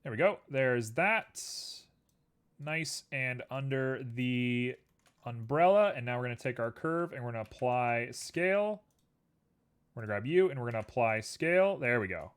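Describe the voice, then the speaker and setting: A man's voice: medium pitch, measured pace, clean tone. A young man streaming live, chatting casually and rambling to his viewers while building a 3D scene. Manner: relaxed, rambling, talks to chat and to objects on screen.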